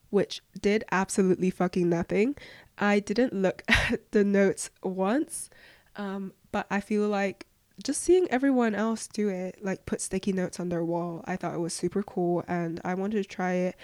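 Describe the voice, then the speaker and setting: A young woman with a voice croaky from flu, giving casual, earnest advice as a podcast host answering questions. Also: mid-range voice, moderate pace, darkish tone, no room sound, studio quality. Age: young adult.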